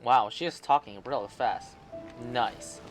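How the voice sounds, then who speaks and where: A man's voice: medium pitch, slow, faint music behind. A man streaming a video game, giving casual off-the-cuff commentary and thinking aloud.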